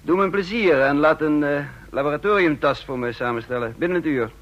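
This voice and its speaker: An actor in a Dutch radio play voicing a methodical, brisk counterintelligence chief who explains deliberately, with small hesitations. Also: male voice, a little high, natural voice. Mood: brisk, commanding. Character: brisk, methodical male head of a counterintelligence service. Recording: muffled, dull radio play recording.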